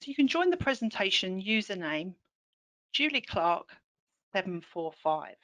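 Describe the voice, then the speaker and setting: A woman trainer with a hoarse, croaky throat, leading an online teaching session in an upbeat, encouraging way. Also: medium pitch, very animated, normal speed, fairly bright tone, low-quality recording. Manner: upbeat, encouraging, clear explaining.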